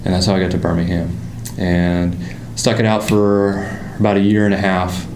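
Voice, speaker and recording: A man with a Pittsburgh accent and a very low voice tells his story in a loose, rambling way, full of ums and restarts. The room is roomy and echoey, and a steady background noise sits under his voice. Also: normal speed. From Pittsburgh area, United States. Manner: relaxed storytelling with many fillers and restarts. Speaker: motorcycle designer, guest. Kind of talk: casual interview conversation.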